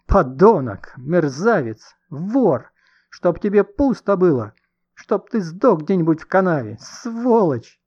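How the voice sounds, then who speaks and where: A man acts out a hysterical, furious woman from a storybook. The voice is raised high, loud and agitated, flinging accusations, on a slightly dull recording. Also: very animated, slow pace, breathy edge, heavily acted. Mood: furious, accusing.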